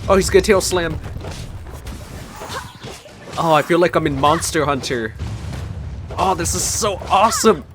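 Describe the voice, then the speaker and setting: A man giving excited, exclaiming reaction commentary while playing a game. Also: fairly high pitch, a little slow, long pauses, over music. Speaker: game streamer. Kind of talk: let's play reaction commentary.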